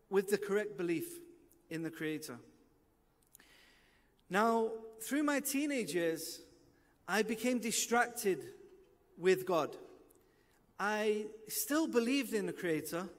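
Earnest high-voiced man from Manchester. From England. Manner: earnest and reflective, gesturing with hands. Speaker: guest speaker. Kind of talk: personal testimony lecture. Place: outdoor stage at night. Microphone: desk microphone. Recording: long reverb of a large open venue.

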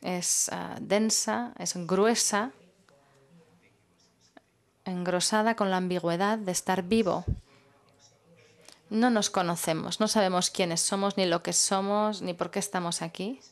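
A woman working as a simultaneous interpreter at a public talk. She speaks in measured phrases and pauses while she searches for the next word. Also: medium pitch, fairly even intonation.

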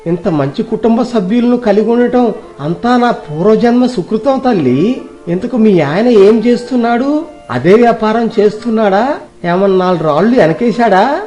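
A man acts out an affectionate older village uncle from a moral story. The voice is high for a man and soft-edged, with effusive, sentimental phrasing. Faint music plays underneath. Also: lively intonation, a little slow. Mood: tender. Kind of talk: dramatized moral story acting.

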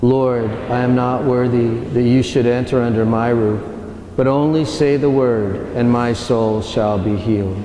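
A man serving as priest, leading the prayers of Mass in a measured, solemn way. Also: mid-range voice, steady tone, mellow. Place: large cathedral. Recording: echoey cathedral with long reverb, faint noise.

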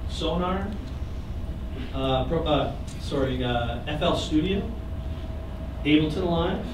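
A man's voice: fairly high pitch, lively intonation, takes it slowly. A man in his thirties running a live recording workshop, explaining gear and joking with his audience. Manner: chatty, joking with the audience, gesturing while explaining. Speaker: recording clinic instructor. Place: small classroom with seated audience. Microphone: camera at the back of the room. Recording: echoey room heard from far off, noisy.

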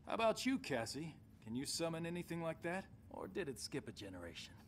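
An actor playing a cocky, challenging young monk fighter with a brash, sardonic manner in a video game. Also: male voice, a little high, sing-song, fairly quick, slight background noise. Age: young adult. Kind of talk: video game cutscene acting.

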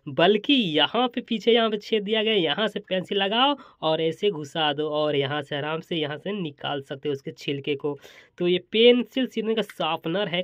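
A man's voice: high voice, a bit bright, no echo. A man hosting a casual hands-on product showcase, chatty and exclamatory, often repeating his phrases as he demonstrates. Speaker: toy and gadget review host.

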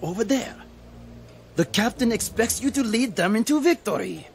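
A man's voice: high-pitched, expressive, normal speed, vocal fry. An actor in a radio drama playing a dutiful army sergeant, deferential to his officers and scornful of the enemy. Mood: matter-of-fact, scornful.

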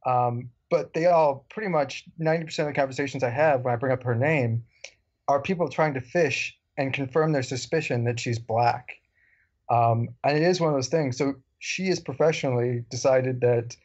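A man's voice: medium pitch, a little mellow. A man speaks in a mid-range voice as a podcast co-host. He is casual and anecdotal, with a wry touch. The recording is somewhat dull and lo-fi.

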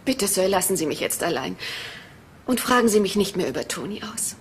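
An actress playing a frank, nervous young woman in a German crime radio drama, speaking haltingly and candidly. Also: medium pitch, very animated, a little hiss or hum. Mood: weary, defensive. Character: frank, uneasy young woman under police questioning.

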